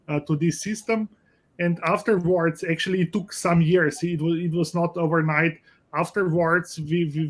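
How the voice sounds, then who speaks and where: Slovenian-accented professor, man, higher-pitched and monotone, halting and repetitive. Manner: halting, many restarts and fillers. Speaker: pediatric lipid specialist, professor. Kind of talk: panel discussion.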